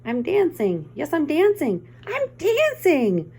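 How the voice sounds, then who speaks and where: Woman voicing a high-pitched, emotional storybook giraffe. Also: wildly swooping pitch, slow, warm tone, full character voice. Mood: overjoyed. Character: shy, clumsy young male giraffe, downcast then overjoyed.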